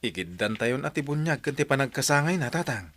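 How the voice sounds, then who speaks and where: A man's voice: medium pitch, lively intonation, a little fast, fairly bright tone. An actor playing a hardworking, devoted village father in an Ilocano-accented radio drama. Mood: upbeat. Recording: music behind the voice.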